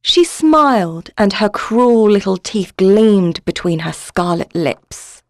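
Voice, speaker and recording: A woman narrates a scripted tale in storybook style. Her voice sits at a medium pitch, in a very dry recording with no room sound. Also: animated, takes it slowly, no room sound.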